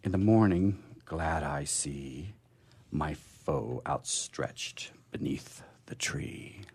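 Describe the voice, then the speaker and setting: A man hosting a poetry program, speaking in a halting, expressive way as he talks casually and reads verse. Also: very deep voice, breathy edge. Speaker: radio show host reading poetry. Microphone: studio microphone he drifts away from.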